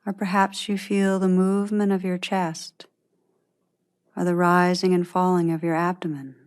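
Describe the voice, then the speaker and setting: A woman guiding a meditation in a gentle, unhurried, soothing manner, giving calm instructions with long pauses. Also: lowish voice, clean tone. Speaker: meditation teacher guiding a practice. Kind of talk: guided meditation, scripted instruction.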